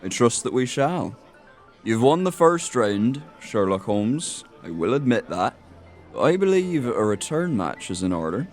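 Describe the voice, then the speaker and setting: An actor in an audio drama playing a sly, genteel criminal mastermind with a suave, mock-polite manner. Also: male voice, mid-range voice, very animated, unhurried, a little mellow, soft background music. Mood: courteous menace. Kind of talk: audio theatre acting.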